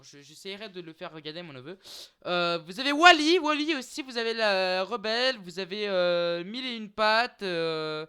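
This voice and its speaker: A man's voice: high-pitched, pushed and bright, clear, resonant tone. A young man talking casually to his viewers in a vlog, hesitant, full of fillers and restarts, calling them guys. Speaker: YouTuber listing streaming titles. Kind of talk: casual unscripted vlog talk.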